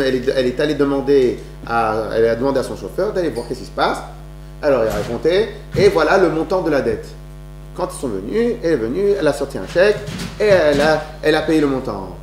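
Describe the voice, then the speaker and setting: A man's voice: fairly high pitch, darkish tone. A man giving a religious talk to an audience, telling stories with many restarts and rhetorical questions. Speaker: lecturer. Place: lecture hall. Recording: echoey room with faint hum.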